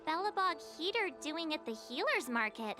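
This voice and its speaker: A very high, girlish voice as an excitable teenage adventurer in an anime-style game. Her delivery swings up and down, chatty and naive, turning whiny when flustered. Prominent music plays behind her. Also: normal speed. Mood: curious, chatty.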